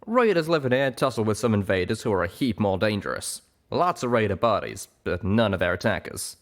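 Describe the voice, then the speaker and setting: A man's voice: lowish voice, big pitch swings, a little fast. A man voicing an easygoing, practical cowboy pony with a folksy way of talking. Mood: matter-of-fact. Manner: folksy phrasing.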